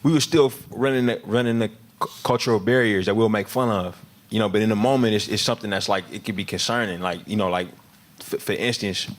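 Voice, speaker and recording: A man in his thirties talking casually in an interview, halting with frequent restarts and fillers, often laughing. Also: on the low side. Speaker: film director guest.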